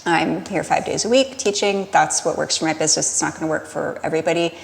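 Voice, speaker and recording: A woman's voice: low-pitched, fast, creaky, big-room sound. An American woman talking casually as a podcast guest, explaining things in a looping, self-interrupting way. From United States. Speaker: podcast guest, Pilates studio owner.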